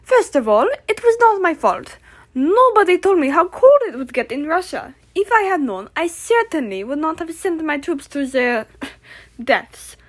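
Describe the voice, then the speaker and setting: Woman playing a touchy, high-pitched pompous emperor. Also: very animated, unhurried. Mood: indignant boasting. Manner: pompous, indignant, sarcastic. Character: touchy, boastful emperor Napoleon as talk-show guest. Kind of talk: comedic puppet-show interview.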